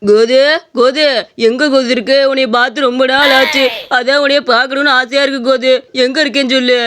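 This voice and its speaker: A woman's voice: high voice, steady tone, brisk, noisy background, lightly acted. A woman playing a lovestruck, teasing village uncle with a blunt manner in a comic skit.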